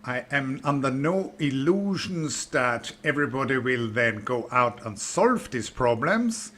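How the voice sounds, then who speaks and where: A man from Austria with an Austrian German accent, an author and biologist introducing his book in a measured, explanatory way with dry humor. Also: medium pitch, a bit bright, a little hiss or hum.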